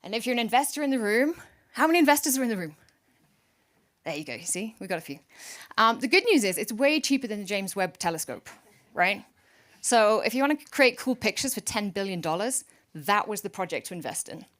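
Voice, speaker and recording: A woman chief executive giving an energetic, joking startup pitch to investors from a conference stage. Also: fairly quick.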